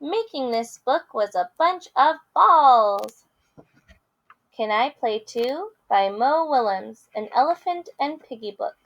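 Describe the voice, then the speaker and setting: A woman's voice: high voice, expressive, slow, muffled recording. A woman teacher addressing young readers in a picture-book read-aloud lesson. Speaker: teacher reading aloud to students.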